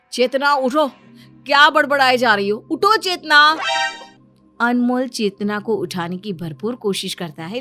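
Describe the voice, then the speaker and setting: High-voiced woman narrating a story. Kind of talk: scripted cartoon story narration.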